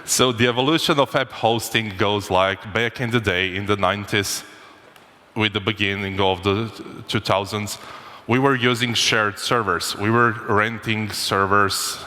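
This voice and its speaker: Croatian guy giving a jokey conference talk, lowish voice, bright and forceful, hall echo. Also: steady tone, unhurried. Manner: joking, informal, with restarts. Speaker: conference speaker. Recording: long hall reverb.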